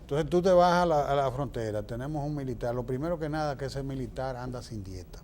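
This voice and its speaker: A man's voice: medium pitch, lively intonation, fairly quick, a little mellow, slight background noise. A man with a Dominican Spanish accent giving opinionated, explanatory political answers as an interview guest, emphatic and fond of rhetorical questions.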